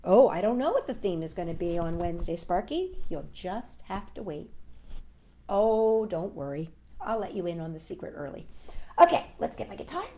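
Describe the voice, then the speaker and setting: A woman's voice: on the low side, sing-song, normal speed, mellow. A woman hosting a storytime for young children, talking in a sing-song way as she chats and reads to her little audience. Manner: sing-song, addresses children and a puppet or pet companion. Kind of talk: warm-up chat and picture-book reading for young children.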